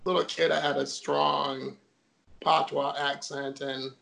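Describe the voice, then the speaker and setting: Gravelly man from Jamaica telling stories. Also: on the high side, little pitch movement, slow, fairly bright tone, rough recording. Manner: storytelling, chatty with fillers. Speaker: guest. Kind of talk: casual interview chat.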